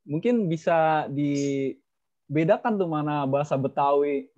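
A man's voice: fairly high pitch, slow, darkish tone. A young man casually moderating a student webinar on a video call, chatty and teasing. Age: young adult. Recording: dull lo-fi call audio.

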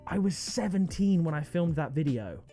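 Excitable young man gaming. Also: slow, soft background music. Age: 20s. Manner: excitable, jumps to high-pitched disbelief. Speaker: video game trading streamer. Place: gaming room indoors.

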